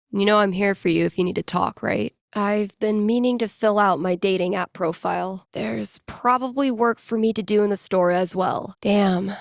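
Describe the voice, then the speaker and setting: A woman's voice: mid-range voice, vocal fry, no echo, studio quality. A woman voicing a wry, casual young American record store DJ in a video game, muttering to herself with self-amusement. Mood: teasing, then musing. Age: young adult. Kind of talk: naturalistic video game voice acting.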